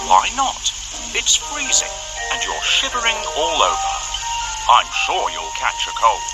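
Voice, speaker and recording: Man doing an animated, kindly storybook king. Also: a little high, unhurried, loud music behind the voice, pitched above the performer's own voice, heavily acted, poor audio. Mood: welcoming. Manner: theatrical. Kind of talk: children's storybook character voice.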